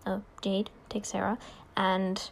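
A twenty-year-old woman introducing herself in an unhurried way, correcting herself as she goes. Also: mid-range voice, completely flat delivery, creaky. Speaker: podcast guest.

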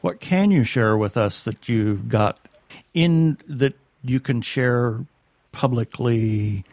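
Slow-talking male podcast host. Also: medium pitch, a little mellow, no room sound. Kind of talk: casual interview chat.

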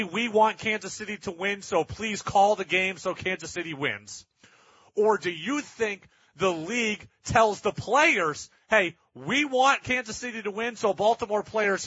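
A man's voice: sits high, bright, forceful tone, breathy edge, no room sound, muffled recording. A man hosting sports talk radio, delivering opinionated monologues in an exasperated, emphatic, repetitive way.

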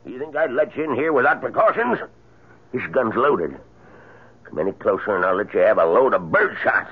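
A man's voice: mid-range voice, animated, normal speed, husky. An actor playing a stubborn, scornful old man with a curt, defiant manner in an old-time radio drama. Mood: threatening. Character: dreadful, stubborn old man, gloating and suspicious. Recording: tinny, lo-fi old radio broadcast.

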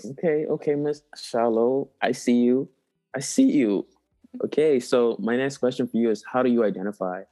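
A young man hosting a casual interview podcast, animated and playful, laughing often and drawing out names for fun. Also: mid-range voice, normal speed. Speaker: podcast host.

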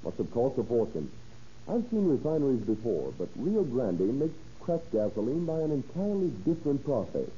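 An actor playing an enthusiastic, folksy service station owner, emphatic and persuasive, in a 1930s radio advertisement on a muffled old recording. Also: male voice, mid-range voice, big pitch swings, normal speed, warm tone, slight background noise, close to an everyday voice. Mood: eager, persuasive.

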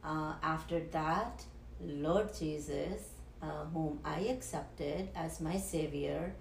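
A woman, giving a halting personal testimony as a guest, with many pauses and ums. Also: low-pitched, gravelly, a little hiss or hum. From Punjab, India. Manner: halting, with frequent ums and restarts. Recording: echoey room.

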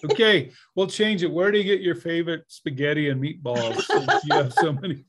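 A man's voice: a little high, brisk. A man hosting a podcast, introducing a guest and asking friendly, jokey questions. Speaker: podcast host. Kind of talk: podcast interview.

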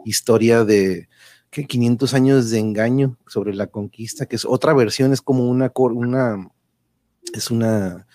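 A man's voice: mid-range voice, measured pace, warm tone, dry recording. Casual male live-show host: playful, talkative, mid-range voice, very little room sound. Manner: playful, chatty, joking. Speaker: host of a live online radio-play show.

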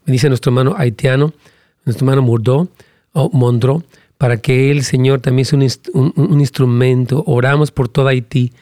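A male pastor preaching and praying during a live broadcast. He prays in fervent, rhythmic lines with emphatic repetitions, and his pitch climbs as he argues a point. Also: normal speed, no echo, studio quality. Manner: animated, rising pitch when arguing a point. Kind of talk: live-streamed sermon and prayer with Q&A.

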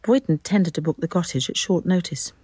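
An actress playing a measured storyteller with a mellow, husky voice in scripted literary radio drama narration. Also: female voice, fairly low pitch, dry recording. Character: measured third-person storyteller.

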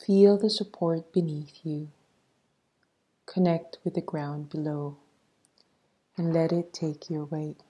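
A woman leading a guided meditation in a soft, breathy voice, soothing and measured as she gives instructions. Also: sits low, fairly even intonation.

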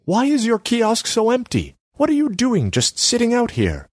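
Man voicing haughty young female artist, high, preachy, dry close sound. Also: wildly swooping pitch, moderate pace, dry recording, heavily acted. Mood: haughty lecturing. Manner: scornful, lecturing, self-important.

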